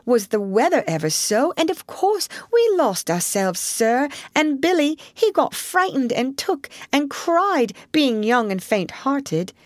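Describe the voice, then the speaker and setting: A woman's voice: sits high, big pitch swings, takes it slowly, hardly any pauses, no echo, clean studio sound, voice pushed up, full character voice. A woman voicing a respectful young male hedgehog, a humble country servant with rambling rustic speech in a storybook. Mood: earnest, humble.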